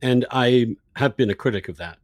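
A man's voice: mid-range voice, normal speed, dry recording. A man hosting an opinionated political conversation as an interviewer, speaking candidly and emphatically, repeating words as he makes his points. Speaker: podcast host interviewing an author.

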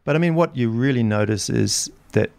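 Gravelly, hesitant male podcast guest. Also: mid-range voice, expressive, a little fast, a little mellow, no echo. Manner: hesitant, full of restarts and "I mean". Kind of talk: casual chat.

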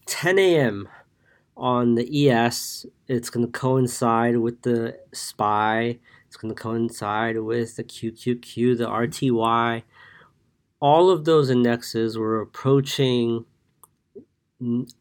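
A man's voice: medium pitch, creaky. A man hosting a market podcast, congested from a cold, giving a casual daily recap with many fillers while reading numbers from his notes. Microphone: podcast mic.

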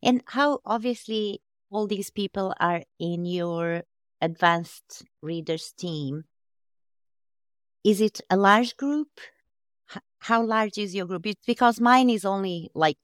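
A woman hosting a podcast interview, asking slow, thoughtful questions about writing children's books. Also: lowish voice, no room sound, clean studio sound. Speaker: podcast host, children's author.

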